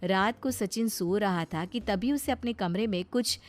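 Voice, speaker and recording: A woman's voice: mid-range voice, unhurried, soft background music. A woman narrating a scripted story as a storyteller.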